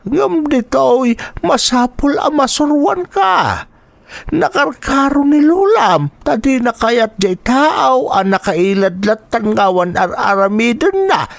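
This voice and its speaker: A man's voice: extremely high pitch, creaky, no echo, exaggerated voice. A man playing a strict old grandfather in a radio drama, speaking with an Ilocano accent and an admonishing, instructive manner. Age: older. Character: strict, hardworking old grandfather in a rural household. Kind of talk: Filipino radio drama acting.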